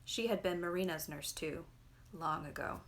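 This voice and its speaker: A woman reading literary fiction aloud in a measured, expressive storytelling manner. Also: on the low side. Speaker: reader.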